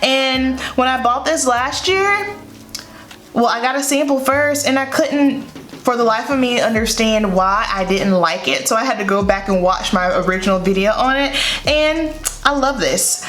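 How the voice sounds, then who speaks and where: A woman's voice: fairly high pitch, animated, moderate pace, a bit bright. A woman in her thirties with a Southern US accent, chatting upbeat through a makeup tutorial at her vanity, a bit congested from a head cold. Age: 30s. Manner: chatty, upbeat, repeats words for emphasis. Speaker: beauty vlogger. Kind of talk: casual makeup tutorial chat. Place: bedroom vanity room, indoors. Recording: echoey room, faint music.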